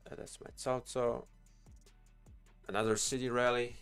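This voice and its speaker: A man's voice: medium pitch, level tone, moderate pace, clean tone, faint music behind. A man giving casual, rambling game commentary as a live streamer, thinking aloud in informal language. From Bosnia and Herzegovina.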